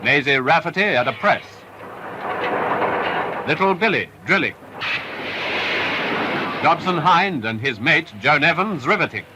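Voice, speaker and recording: A man narrates a wartime film with an emphatic, patriotic delivery. His voice sits slightly high. The sound is an old restored film reel with steady hiss and crackle. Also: unhurried, pauses often.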